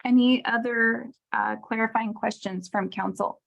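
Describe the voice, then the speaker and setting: A woman's voice: fairly high pitch, steady tone, a little slow, creaky, rough recording. A woman, the mayor, chairs a city council meeting in an orderly, formal way, reading agenda items and calling on members.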